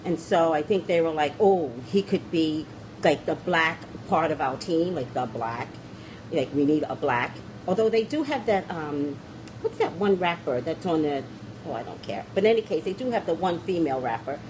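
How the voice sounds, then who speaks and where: A woman's voice: sits low, animated, moderate pace, highs cut off, background noise. Chatty woman rambling on a phone stream. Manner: rambling and chatty, often trailing off and restarting. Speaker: psychic livestream host. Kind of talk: casual livestream chat. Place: small indoor room against a plain wall. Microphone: phone camera at arm's length.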